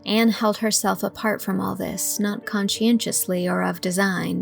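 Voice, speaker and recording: Woman narrator with creaky edge. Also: mid-range voice. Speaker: audiobook narrator and producer. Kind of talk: scripted literary narration.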